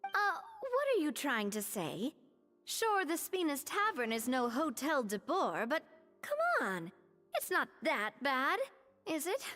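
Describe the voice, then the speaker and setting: An actress playing a composed, exacting, proud former head chef in a video game, crisp and firm. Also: female voice, sits high, huge pitch swings, slow pace, fairly bright tone, big-room sound, faint music behind, full character voice. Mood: calm, responsible.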